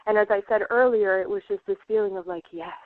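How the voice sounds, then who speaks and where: A woman's voice: mid-range voice, steady tone, quick pace, dry recording. A woman from Puerto Rico, a guest healer on a radio interview, talking in an earnest, reflective way with many fillers. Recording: tinny phone line.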